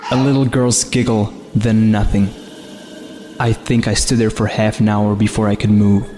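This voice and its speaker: Even-pitched man narrating horror with long reverb. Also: a little hiss or hum. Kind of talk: scripted first-person horror story narration. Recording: long reverb, faint music behind. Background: faint music.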